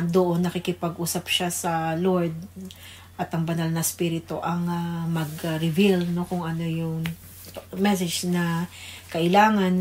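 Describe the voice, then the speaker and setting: Lowish-voiced Filipino woman over background noise. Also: a little mellow. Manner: halting, thinking aloud. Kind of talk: unscripted devotional talk.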